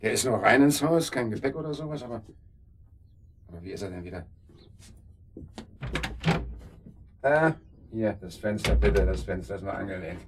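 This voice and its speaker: Actor as a brisk, officious man, fairly low. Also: expressive, far from the mic, background noise, close to an everyday voice. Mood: puzzled, reasoning. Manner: brisk, businesslike. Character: officious male holiday-house landlord, practical, sternly polite. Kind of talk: naturalistic radio drama acting.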